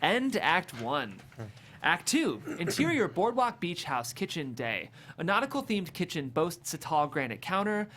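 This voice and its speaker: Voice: medium pitch, sing-song, unhurried, a bit bright. Screenplay narrator, vivid, amused, very varied intonation, comedy table read. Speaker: stage directions narrator.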